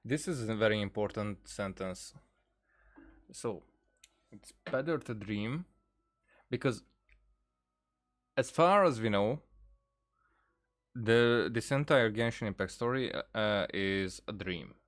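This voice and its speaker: A man's voice: fairly low pitch, expressive, slow pace, pauses often, a bit bright. A man giving casual, rambling game commentary, thinking aloud hesitantly and often repeating words as he goes. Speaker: gaming video creator.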